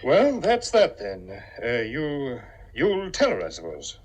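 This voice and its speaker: Husky, wildly inflected businessman. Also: medium pitch, huge pitch swings, takes it slowly, full character voice. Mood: sheepish. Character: businessman, defensive and sheepish office partner. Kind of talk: 1950s radio comedy acting. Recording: vintage 1950 radio broadcast, musical stings.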